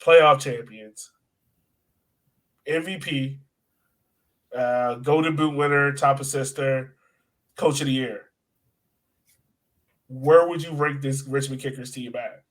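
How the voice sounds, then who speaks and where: A man's voice: mid-range voice, steady tone, takes it slowly, long pauses, fairly bright tone. A man hosting a casual sports podcast, informal and conversational, often posing questions to his co-host.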